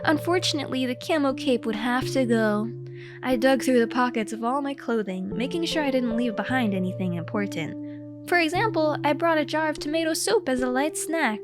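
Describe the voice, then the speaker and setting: High-pitched woman, theatrical comic storyteller. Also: normal speed, over music. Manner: theatrical, mock-heroic. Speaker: storyteller narrating own comic diary. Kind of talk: dramatic comedic scripted narration.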